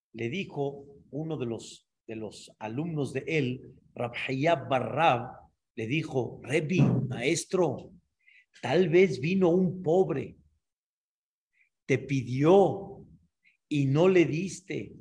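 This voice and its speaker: Rabbi teaching a class, high voice, explains step by step and keeps checking in. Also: male voice, lively intonation, drawn-out words, darkish tone. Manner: explanatory, checks understanding with frequent asides. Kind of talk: Torah class lecture.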